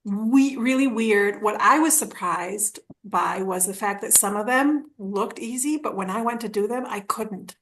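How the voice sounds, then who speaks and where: A woman's voice: medium pitch, unhurried, few pauses, fairly bright tone, a little hiss or hum, rough recording. A woman in her forties from Argentina, a podcast guest telling her story in a rambling, casual way. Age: 40s. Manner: rambling, restarts, acts out quoted dialogue with laughs.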